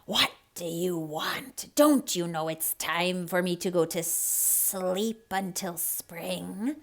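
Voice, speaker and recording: A woman voicing a sly, grumpy old male snake with a hissing, whispery, drawn-out delivery in a children's story. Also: on the low side, vocal fry.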